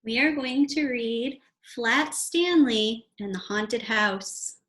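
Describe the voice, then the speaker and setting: A woman reading a children's storybook aloud with deliberate, expressive delivery. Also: fairly high pitch.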